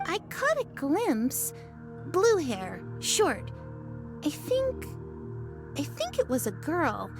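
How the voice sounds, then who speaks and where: A very high female voice with a lively, swooping lilt, spinning gossip like a story. a chatty young villager. Clear game music runs beneath. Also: slow, long pauses, full character voice. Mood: recounting. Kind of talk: video game voice acting. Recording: game audio with music underneath.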